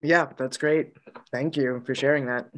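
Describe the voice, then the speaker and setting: A man working as a literary manager, interviewing a guest on a video call and speaking thoughtfully, with some hesitant pauses. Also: mid-range voice, steady tone. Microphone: video call, webcam.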